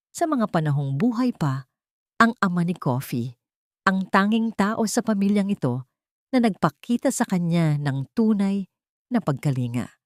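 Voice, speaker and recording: A woman reading a scripted dramatic story in a measured, solemn, suspenseful way. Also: on the low side, darkish tone, no room sound, clean studio sound. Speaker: narrator of audio drama stories.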